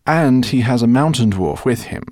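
A man's voice: mid-range voice, expressive, normal speed, a little mellow, no room sound, studio quality, pitched above the performer's own voice, natural voice. A man reading an audiobook, voicing a kindly, flustered older male professor.

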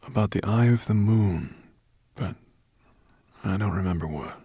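A man voicing a forgetful old male dragon in a breathy murmur, slow and halting, as in a storybook reading. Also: on the low side, mellow, dry recording, clean studio sound. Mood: drowsy, murmuring.

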